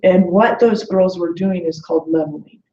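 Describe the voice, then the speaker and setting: A woman's voice: lowish voice, normal speed, dark tone. A woman in her late forties speaking as a webinar guest in a conversational, motivational coaching style, earnestly illustrating her points with quoted remarks. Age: late 40s. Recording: muffled, echoey webinar audio.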